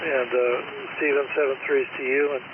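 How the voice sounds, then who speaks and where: A man talks over a narrow, tinny two-way radio buried in loud noise. He chats casually in a folksy, halting way, like a hobby operator passing the conversation along. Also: mid-range voice, level tone. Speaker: amateur radio operator, private pilot. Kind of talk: casual ham radio chat.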